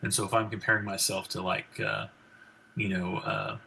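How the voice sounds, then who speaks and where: A man in his forties speaks in a low, gravelly baritone with a bright edge. He talks casually and rambles, restarting his sentences and leaning on fillers. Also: flat delivery, normal speed, a little hiss or hum, rough recording. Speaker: guest, physician and country singer. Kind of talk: casual interview conversation.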